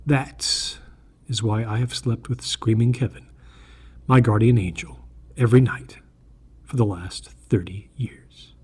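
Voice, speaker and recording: A man reading a scripted first-person horror story in a deliberate, earnest manner, with a slightly husky voice. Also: mid-range voice, a little mellow.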